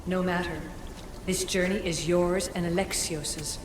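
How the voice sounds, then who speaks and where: A woman voicing a composed, ancient female Isu hologram who speaks in a measured, formal way in a video game. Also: on the low side, natural voice. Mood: solemn. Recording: echoey with steady noise.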